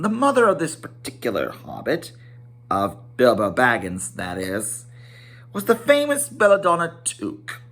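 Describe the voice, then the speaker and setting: A man's voice: mid-range voice, a bit bright. A man reading a fantasy storybook aloud in a theatrical, emphatic manner, stumbling now and then. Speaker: amateur storybook reader. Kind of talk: dramatic read-aloud of a children's fantasy novel.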